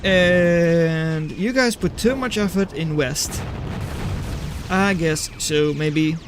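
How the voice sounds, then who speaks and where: A man's voice: on the high side, slow, noisy background. A man casting a strategy game replay live and chatting with viewers, matter-of-fact and dry in his remarks. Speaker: game caster, streamer.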